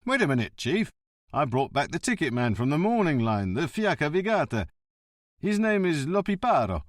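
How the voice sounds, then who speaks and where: A man puts on an eager, loyal police officer reporting to his chief, pitched a little higher than his own voice. He talks briskly and keenly, read close with no room sound. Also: very animated, no room sound.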